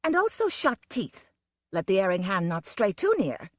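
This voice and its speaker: A woman's voice: fairly high pitch, sing-song, moderate pace, no room sound, studio quality, voice pushed up. A woman voicing a savage, snarling circus wolf-woman who speaks in a biting, mocking way. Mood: menacing.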